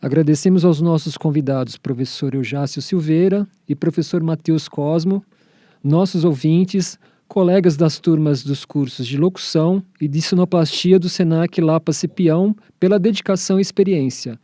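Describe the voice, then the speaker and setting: A man's voice: a little high, moderate pace, dark tone, no room sound, studio quality. A man with a Brazilian accent hosting a radio programme from a script. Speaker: programme host.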